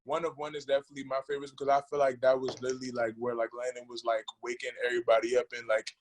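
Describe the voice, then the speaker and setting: A man with a medium-pitched voice talks as a guest producer in a casual interview. He speaks haltingly, circles back on his words and leans heavily on slang. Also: completely flat delivery, a little hiss or hum.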